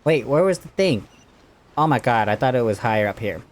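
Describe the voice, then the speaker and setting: A woman's voice: very deep voice, expressive, brisk, a little mellow, a little hiss or hum. A woman game streamer giving casual playthrough commentary and reading some dialogue aloud.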